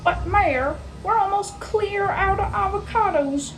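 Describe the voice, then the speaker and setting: A woman's voice: very high-pitched, unhurried, faint music behind, poor audio. A woman playing a folksy, stammering male underling with a resentful streak in a radio play. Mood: timid pleading. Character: flustered, folksy male underling named Peter, secretly resentful.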